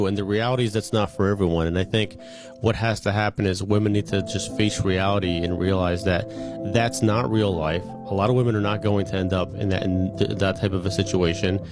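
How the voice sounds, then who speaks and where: Measured advising man, low voice. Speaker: interview guest.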